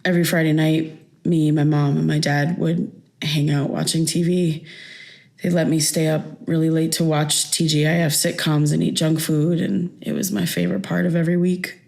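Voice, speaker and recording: A daughter in her thirties with a somewhat low voice. She talks informally and haltingly, with a raw, insistent edge. The delivery is naturalistic audio drama. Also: level tone, vocal fry, a little mellow. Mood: confiding, subdued. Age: 30s. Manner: conversational, hesitant, informal. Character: wounded thirty-three-year-old daughter, insistent and raw.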